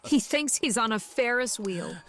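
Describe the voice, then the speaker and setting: A woman's voice: fairly high pitch, expressive, normal speed, a bit bright. A woman playing a vicious, impatient henchwoman in an audio drama, sneering and snappish. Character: vicious, impatient female henchwoman with violent temper.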